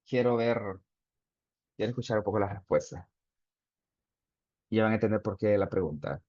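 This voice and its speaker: A man's voice: on the low side, animated, moderate pace, a little mellow, no echo, highs cut off. A man teaching an online class, explaining step by step and reading chat answers aloud. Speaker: instructor. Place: video call.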